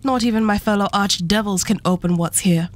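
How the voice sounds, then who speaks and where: A woman playing an arch devil who hoards relics, speaking with unhurried, condescending and politely menacing poise in a scripted ASMR roleplay. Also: mid-range voice, natural voice. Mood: haughty, amused.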